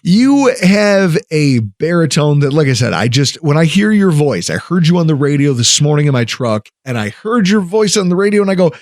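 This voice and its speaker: A man hosting a podcast, enthusiastic and quick to laugh. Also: a little high, sing-song, a little mellow, no echo, clean studio sound. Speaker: podcast host. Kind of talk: casual podcast chat.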